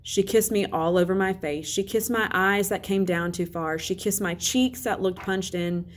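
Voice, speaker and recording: A woman's voice: mid-range voice, moderate pace. A woman teacher reading a children's novel aloud as a narrator. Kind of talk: storybook read-aloud narration.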